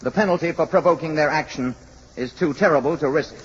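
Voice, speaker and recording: Man playing grave alien emissary, 1950s radio drama, formal and measured, slightly high voice, muffled recording. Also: heavy background noise, close to an everyday voice. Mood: solemn warning. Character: grave, commanding alien emissary in human form.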